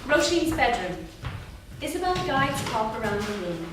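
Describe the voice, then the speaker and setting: A woman's voice: on the high side, big pitch swings, moderate pace. A woman reading stage directions as scripted narration at a staged reading. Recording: noisy, very echoey theatre, distant mic.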